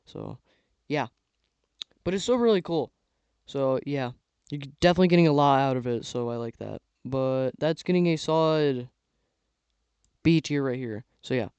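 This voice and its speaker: A young man giving casual tier-list commentary on toy car sets, rambling with lots of fillers and a voice he says is going hoarse. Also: medium pitch, normal speed, pauses often, a little mellow, dry recording, lo-fi sound. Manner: rambling, filler-heavy, trailing off. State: losing his voice. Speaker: YouTuber ranking toy sets.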